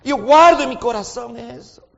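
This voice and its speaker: A man preaching a sermon in a language that is not his first one, as his native Portuguese slips in. He shifts between quiet, intimate talk and loud, fervent exhortation, and keeps asking his listeners questions. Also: very high-pitched, moderate pace, creaky, long reverb tail, highs cut off. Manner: asks the audience frequent questions.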